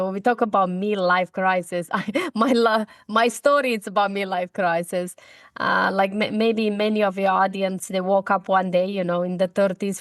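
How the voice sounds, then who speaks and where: A woman's voice: mid-range voice, dry recording. Middle-aged romanian woman, accent, heartfelt podcast guest, starts over a lot, dry close mic. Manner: earnest, heartfelt, halting with restarts. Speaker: guest, speaker and coach. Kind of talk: personal story in a podcast interview.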